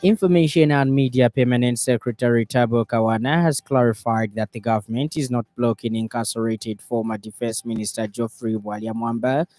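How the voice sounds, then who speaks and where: A man with a Zambian accent reading a radio news bulletin in a formal, measured way. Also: medium pitch, steady tone, a little mellow, dry recording.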